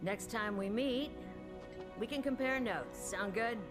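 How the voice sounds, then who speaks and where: A woman playing a seasoned, self-assured field researcher in a video game cutscene, calm, probing and matter-of-fact. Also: on the high side, a little slow, close to an everyday voice. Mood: thoughtful, brisk. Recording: game cutscene with loud background music.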